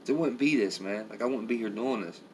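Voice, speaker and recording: A middle-aged man with a raspy voice, tired and rambling as he talks casually to viewers on a live stream. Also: on the low side, fairly quick, darkish tone, a little hiss or hum. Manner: rambling, heavy with filler phrases. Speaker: vlogger.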